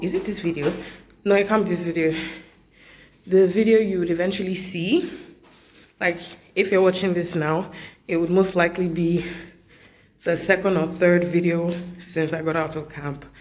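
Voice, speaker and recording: A woman's voice: lowish voice, steady tone, darkish tone. A young Nigerian woman in her twenties, chatting casually to a handheld camera as she walks through a hotel. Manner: chatty, laughs easily, slow and unhurried. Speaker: vlogger. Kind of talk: casual vlog chat to camera. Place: hotel corridors and grounds.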